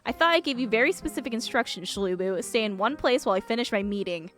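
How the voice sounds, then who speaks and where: A woman's voice: fairly high pitch, animated, fast, fairly bright tone. A woman voicing a haughty, scolding woman landowner from a visual novel, sarcastic and irritated. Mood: scolding, exasperated. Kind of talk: visual novel character reading. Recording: music behind the voice.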